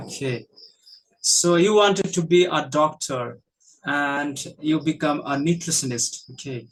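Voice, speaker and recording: Halting male English teacher interviewing. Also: fairly high pitch, rough recording. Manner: frequent backchannel, halting with many fillers. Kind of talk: casual conversational interview.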